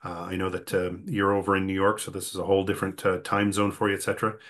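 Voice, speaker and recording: A man hosting an online workshop in a conversational, halting way, often repeating words as he goes. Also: deep voice, little pitch movement, fairly bright tone. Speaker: host.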